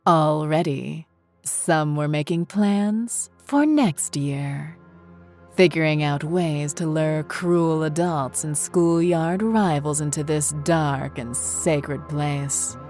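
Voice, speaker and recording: A woman's voice: low voice, slow, darkish tone. A woman reading a scripted horror story as its narrator, with music behind her. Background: faint music.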